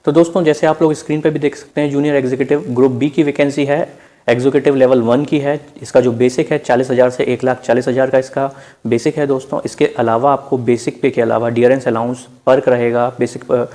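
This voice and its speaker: A man's voice: medium pitch, fairly even intonation, fairly quick, mellow. A man with an Indian accent gives an informal instructional explainer as an exam preparation presenter, addressing listeners as friends and mixing in English terms. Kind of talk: informal explainer narration over on-screen slides.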